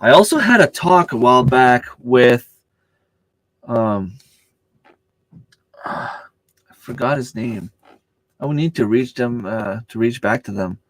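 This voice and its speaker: A man's voice: medium pitch, lively intonation, takes it slowly, long pauses, low-quality recording. A man whose first language is French, hosting a casual live stream. He searches for words, restarts his sentences and answers viewer comments as they come in. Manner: hesitant, searching for words with many fillers and restarts.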